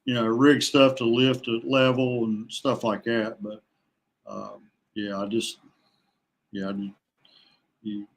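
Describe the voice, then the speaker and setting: An older man with an average-pitched voice talks as a guest on a fishing podcast. His delivery is casual and unscripted. He moves haltingly, doubling back on words as he answers. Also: animated. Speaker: podcast guest, kayak angler. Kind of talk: casual conversational interview answers.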